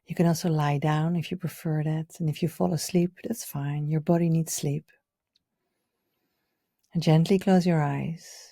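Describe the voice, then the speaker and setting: Woman doing guided meditation, low mellow voice, soft and gentle, really close dry sound. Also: fairly even intonation, no room sound. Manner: soothing, gentle, unhurried, with audible sighs and breathy pauses. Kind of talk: guided meditation, live session.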